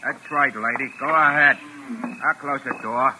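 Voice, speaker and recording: A man's voice: medium pitch, moderate pace, sharp tone. An actor playing a menacing American gangster henchman in a 1940s radio thriller, talking slangy, bossy and threatening. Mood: gruff, bossy. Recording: noisy vintage radio broadcast recording.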